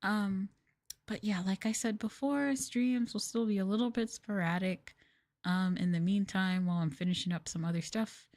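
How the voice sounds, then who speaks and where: A soft-spoken woman streamer chatting casually on an ASMR livestream in an unhurried, hesitant way, close to the microphone. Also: medium pitch, steady tone. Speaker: VTuber streamer, host.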